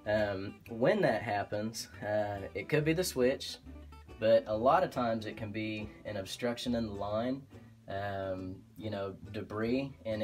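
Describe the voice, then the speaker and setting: Low-voiced Oklahoma furnace technician. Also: male voice. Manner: relaxed and practical, many "um"s and restarts. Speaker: HVAC technician. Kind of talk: instructional how-to explanation. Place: indoor utility closet by a furnace.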